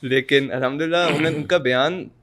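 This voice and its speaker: A man's voice: fairly high pitch, quick pace, fairly bright tone. A young man with a Pakistani accent telling a personal story as a podcast guest, informal and slangy, with English words mixed in. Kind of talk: casual anecdotal storytelling.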